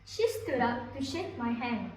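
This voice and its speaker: A woman's voice: fairly high pitch, very animated, measured pace. A young adult woman narrating a scripted story as readers' theatre. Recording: echoey room, distant mic, faint noise.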